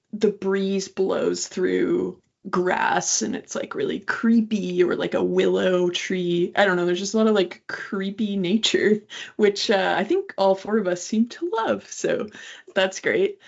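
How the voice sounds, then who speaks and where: Husky, creaky-voiced woman interviewer. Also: medium pitch, lo-fi sound. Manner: informal, chatty, hedging with "like". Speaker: interviewer, co-host. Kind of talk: casual interview chat.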